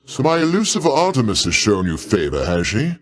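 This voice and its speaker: A mighty older god king in a video game, speaking like a proud, doting uncle. His delivery is theatrical and courtly, with pitch that swings boldly up and down. He stays genial and grandiose throughout. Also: wildly swooping pitch, fairly quick. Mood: wry, jovial. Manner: grandiose, avuncular, courtly old-fashioned diction.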